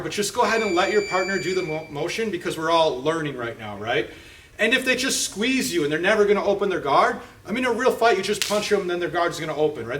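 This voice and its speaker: A middle-aged man teaching jiu-jitsu in an indoor gym, talking casually and a bit self-deprecating. His voice sits slightly high for a man. There is a faint hum of room ambience. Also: fairly bright tone. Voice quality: chatty, self-deprecating, frequent fillers like "okay?" and "right?". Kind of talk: casual storytelling and technique instruction. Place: indoor martial arts gym with mats.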